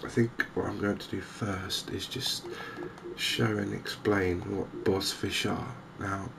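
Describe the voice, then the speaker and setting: A man giving softly spoken, gentle ASMR game commentary in an unhurried, hesitant way. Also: low-pitched, steady tone, creaky, noisy background. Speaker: host of a cozy gaming channel.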